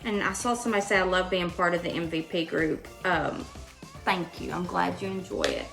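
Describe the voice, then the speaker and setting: A woman's voice: on the low side, expressive, brisk, gravelly, a bit bright, faint music behind. A woman with a Western Kentucky Southern accent, chatting casually and folksily as she hosts a live craft tutorial. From Western Kentucky, United States. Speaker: craft host. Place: indoors at a home craft table.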